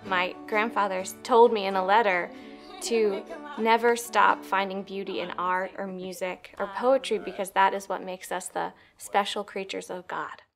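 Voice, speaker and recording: Young American woman, mid-pitched, reflective storyteller, measured phrasing, faint music underneath. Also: steady tone, creaky. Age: young adult. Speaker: poetry reader telling personal stories.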